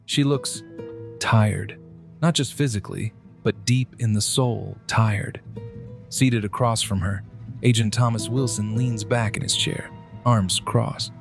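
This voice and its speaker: A man narrating a scripted horror drama in measured, broken phrases with dramatic pauses. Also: lowish voice, gravelly, music in the background.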